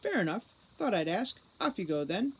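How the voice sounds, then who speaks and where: A woman's voice: mid-range voice, big pitch swings, normal speed, breathy edge, pitched above the performer's own voice, poor audio. A woman reading a storybook part as a huge male bodyguard, curt, commanding and dry. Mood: dry, casual.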